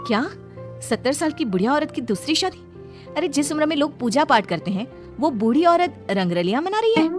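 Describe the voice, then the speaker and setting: A woman's voice: sits high, very animated, normal speed, soft background music. A woman voicing a prudish, scolding married daughter-in-law in a cartoon story, dramatic and gossipy. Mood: scandalized.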